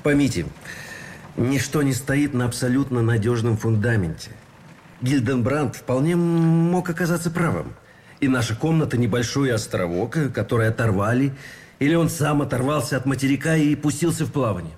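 An actor playing an earnest Russian army officer in a radio play, philosophizing and arguing through rhetorical questions. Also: male voice, medium pitch, moderate pace, a little mellow, close to an everyday voice. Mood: insistent.

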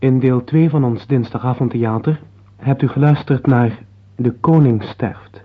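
A man working as a radio announcer, reading scripted closing credits in a measured, formal way. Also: mid-range voice. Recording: narrow tinny old radio broadcast.

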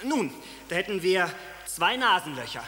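Cheeky fisherman, mocking, bright voice, radio play, faint hiss. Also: high-pitched, big pitch swings, moderate pace, heavily acted. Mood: sly. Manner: sly and mocking. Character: cheeky, clever young fisherman. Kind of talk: radio drama acting.

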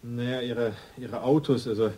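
An actor playing a hesitant, apologetic building attendant who stammers defensively, in a radio crime drama. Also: male voice, mid-range voice, steady tone, moderate pace, dark tone, slightly rough, natural voice, rough recording. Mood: flustered.

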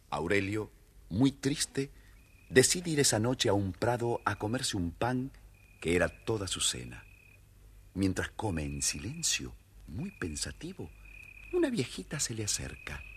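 Guy telling kids story, raspy low voice, very expressive. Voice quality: husky, deep. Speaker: storyteller, narrator. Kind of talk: theatrical scripted children's story narration.

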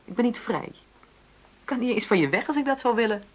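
A woman's voice: on the high side, animated, fast talker, dull audio. An actress playing a frustrated middle-aged wife in a radio play, insistently arguing for equality in her marriage. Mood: indignant, bitter. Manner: argumentative, insistent, reasoning. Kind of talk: naturalistic Dutch radio play dialogue.

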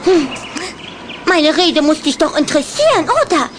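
Young vampire girl for an audio play: high, chirpy, self-assured, insistent, noisy track. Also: big pitch swings, normal speed. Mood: eager, persuasive. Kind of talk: German children's radio drama acting.

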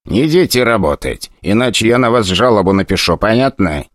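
A man's voice: lowish voice, animated, fairly quick, no room sound, clean studio sound, natural voice. A man voicing a bossy female gnome leader who gives curt, commanding orders.

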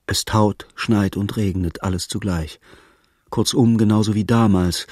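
A man's voice: on the low side, darkish tone, breathy edge, dry recording, clean studio sound. A man playing the sober storyteller of a radio play, reading scripted literary narration. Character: sober male storyteller recounting a hero's misery.

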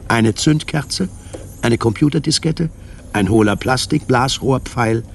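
A man reading scripted narration for a radio drama, measured and articulate. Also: medium pitch, creaky, a little hiss or hum.

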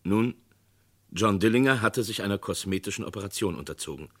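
A man giving measured, dramatic narration of a radio crime play. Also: lowish voice, animated, a bit bright, husky.

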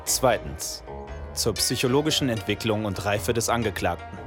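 An actor playing a stern prosecutor in a radio drama, reading out charges in a formal, clipped, official way. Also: male voice, on the low side, normal speed, music in the background, lightly acted. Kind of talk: radio drama courtroom recitation.

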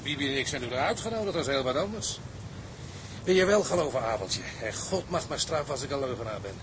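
An actor playing a cheerful, boastful, rough tugboat sailor in a Dutch period drama, talking in a jovial, colloquial way. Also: male voice, mid-range voice, expressive, fast, background noise, natural voice. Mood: enthusiastic.

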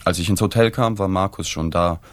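An actor playing a sober journalist who narrates a radio drama in a matter-of-fact, observant way. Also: male voice, deep voice, fairly quick, no echo, natural voice. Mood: detached, observant. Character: sober male journalist narrating his reporting.

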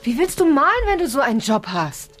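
A woman's voice: high voice, big pitch swings, fairly quick, fairly bright tone. A woman playing a devoted, questioning and emotional girlfriend in a radio drama. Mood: incredulous. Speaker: actor. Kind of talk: German radio drama acting. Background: music.

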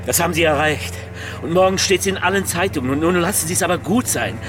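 An actor in a German radio drama playing a male police detective who talks in an urgent, calming, persuasive way. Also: on the high side, fast talker, over music, lightly acted. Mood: urgent, placating.

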